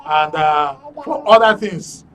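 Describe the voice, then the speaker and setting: Higher-voiced Nigerian man hosting live. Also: slow pace, crowd noise. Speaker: radio and TV host.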